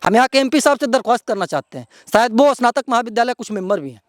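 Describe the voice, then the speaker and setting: Male local news presenter, Bihari accent, very high voice, indignant and emphatic, dry sound. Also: expressive, rapid-fire, no echo. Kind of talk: outspoken news commentary to viewers.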